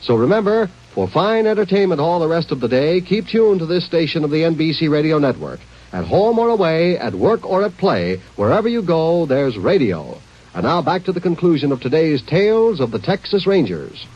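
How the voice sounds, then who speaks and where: Casting: vintage male network announcer, upbeat polished promo read, animated intonation, muffled old radio sound. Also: fairly high pitch, moderate pace. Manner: polished, upbeat sales delivery. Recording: dull vintage radio recording.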